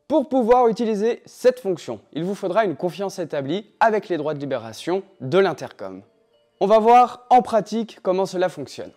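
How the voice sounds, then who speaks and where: A man with a slightly high voice narrates a scripted tutorial. He explains in a clear, instructional manner, taking things step by step. Light music plays behind him. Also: dark tone. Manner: clear didactic step-by-step delivery. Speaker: tutorial presenter. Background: background music.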